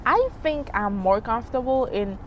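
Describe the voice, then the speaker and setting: A woman's voice: a little high, lively intonation, normal speed, slight background noise. Chatty young woman from Senegal. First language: Wolof. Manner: chatty, filler-heavy, laughing. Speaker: guest. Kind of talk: casual chat.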